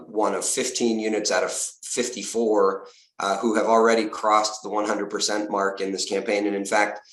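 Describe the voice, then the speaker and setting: A man with a slightly hoarse, lowish voice speaks earnestly and upbeat, dropping frequent "uh" fillers as he thanks donors at a virtual event. His video call audio carries a noticeable roomy echo. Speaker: university fundraising executive. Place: home or office on a video call. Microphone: video call mic.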